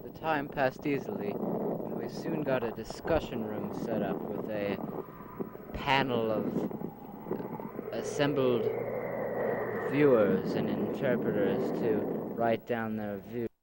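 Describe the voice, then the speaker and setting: A man's voice: lowish voice, slow, pauses often, very noisy. A man narrating and playing the hero of a homemade audio drama, earnest and stammering at times. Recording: loud music bed under old cassette recording.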